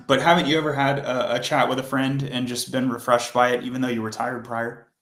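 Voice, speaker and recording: A man hosting a coding livestream, chatting casually and rambling with self-corrections, his voice hoarse and fading. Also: medium pitch, steady tone, moderate pace, echoey room.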